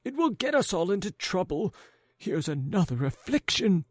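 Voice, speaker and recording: A man's voice: sits high, big pitch swings, moderate pace, dry recording, clean studio sound, voice pushed up. A man reading an audiobook, voicing a timid, cowardly man who frets and whines. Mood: fretful. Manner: whiny, fretful complaining. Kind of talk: audiobook character voice.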